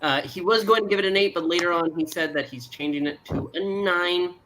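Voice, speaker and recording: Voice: mid-range voice, a little fast, sharp tone, a little hiss or hum, poor audio. A co-host on a casual livestream panel, chatting and reading out viewer votes.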